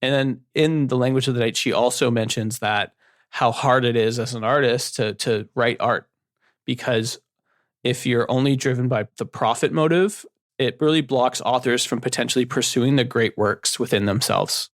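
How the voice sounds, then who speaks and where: A man's voice: mid-range voice, normal speed, no room sound, clean studio sound. A man co-hosting a book podcast, explaining the plot at length in a casual way. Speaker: podcast co-host.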